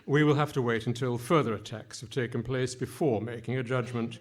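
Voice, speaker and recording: A man's voice: medium pitch, steady tone, brisk, close to an everyday voice. A man playing a pompous, formal and nonsensical official in a comic radio play before a live audience.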